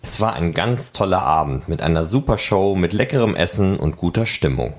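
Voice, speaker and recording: A man's voice: low voice, lively intonation, normal speed. A man hosting a podcast, reading scripted narration. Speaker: podcast host.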